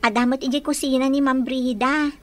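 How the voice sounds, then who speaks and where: A woman's voice: sits high, steady tone, a little fast, natural voice. A woman with an Ilocano Filipino accent playing a young, deferential, naive housemaid in a radio drama. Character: young housemaid daughter, deferential yet observant.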